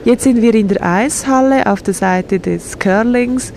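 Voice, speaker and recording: A woman voicing a sign language sports report and interviews in a measured, steady way. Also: on the high side, creaky, slight background noise.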